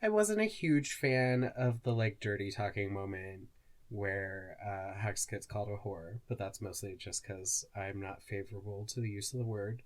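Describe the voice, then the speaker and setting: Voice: sits low, moderate pace. A podcast co-host chatting casually about stories, phrasing things loosely with lots of "like". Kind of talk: casual chat discussing fan fiction.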